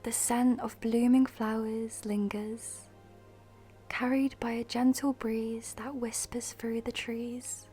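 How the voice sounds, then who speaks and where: Female meditation guide: mellow, breathy half-whisper, even pitch, soothing scripted delivery with long pauses. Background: music.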